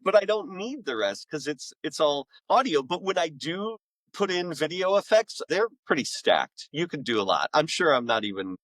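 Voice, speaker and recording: A man's voice: on the high side, very animated, normal speed, fairly bright tone, no room sound, low-quality recording. A man talking casually as an interview guest, explaining creative work in a rambling way that often doubles back on itself. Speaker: interview guest, author and audio drama maker.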